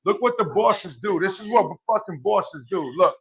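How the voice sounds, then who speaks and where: A man hosting a podcast live from a cigar shop, loud, joking and profane. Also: high voice, normal speed, creaky, husky. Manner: boisterous, profane, teasing. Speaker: podcast host.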